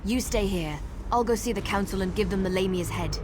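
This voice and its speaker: A woman's voice: medium pitch, a little fast, noisy background, close to an everyday voice. A woman voicing a determined, practical wood elf guide in a video game, purposeful and instructive. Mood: steady, reassuring.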